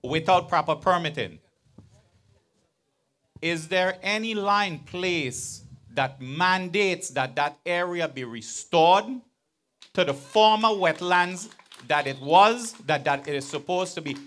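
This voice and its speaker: Earnest man, higher voice. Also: slow pace, a bit bright. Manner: earnest, building to pointed emphasis. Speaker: resident asking a question. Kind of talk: town hall question. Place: large outdoor venue with audience. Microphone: handheld mic.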